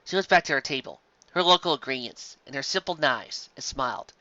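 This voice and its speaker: A reader reading a pony fan story aloud with expressive storytelling. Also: mid-range voice, brisk, dry recording, muffled recording.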